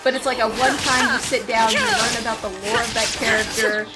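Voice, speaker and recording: A woman's voice: a little high, fairly even intonation, brisk. A woman giving casual, playful live commentary as she streams a game. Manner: chatty and playful. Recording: game music loud behind voice. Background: loud game music and combat.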